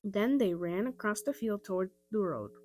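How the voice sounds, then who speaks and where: A woman narrating a children's audiobook in an expressive storytelling style. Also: mid-range voice, moderate pace, slight background noise. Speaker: storybook reader.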